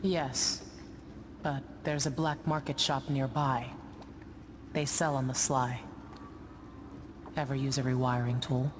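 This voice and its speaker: A woman playing a gruff, wary middle-aged ship captain in a video game, with terse, guarded lines. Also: very deep voice, steady tone, slow pace, pauses often, noisy background. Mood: businesslike. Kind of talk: video game cutscene acting.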